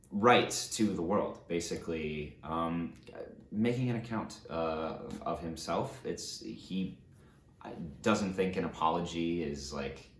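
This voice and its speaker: Male presenter, deep register, informal and self-deprecating delivery, recorded in a reverberant room. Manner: self-deprecating, jokey, hesitant. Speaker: actor presenting a book reading video. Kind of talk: casual, rambling talk to camera. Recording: roomy, echoing indoor sound.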